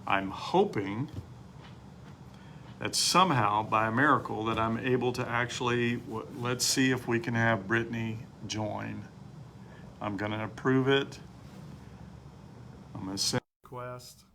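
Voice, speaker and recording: A middle-aged man talking casually on a phone livestream from home, telling his story haltingly with many fillers and restarts. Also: mid-range voice, pushed and bright, slight background noise.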